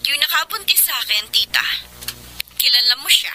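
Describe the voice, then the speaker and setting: A woman's voice: high-pitched, animated, normal speed, sharp tone, a little hiss or hum, heavily acted, poor audio. An actress with a Filipino accent playing a wronged, emotional woman in a love triangle in a radio drama. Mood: defiant.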